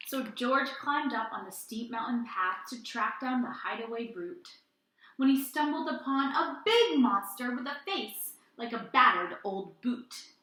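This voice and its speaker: A woman with a fairly high, bright voice reads to young children like a teacher. Her delivery is expressive, and the room adds noticeable echo. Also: measured pace. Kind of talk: children's story read-aloud and lesson. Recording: roomy indoor echo.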